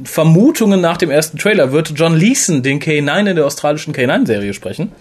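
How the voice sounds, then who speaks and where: A fast-talking male podcast host chats in a casual, opinionated way. His voice is slightly high for a man and has a husky edge. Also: animated, darkish tone. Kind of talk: casual fan podcast chat.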